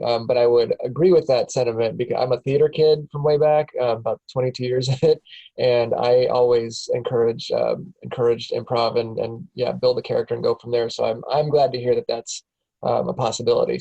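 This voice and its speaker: Thirties man, gravelly and warm, encouraging actor. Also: medium pitch, fairly quick, lo-fi sound. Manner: self-deprecating, encouraging.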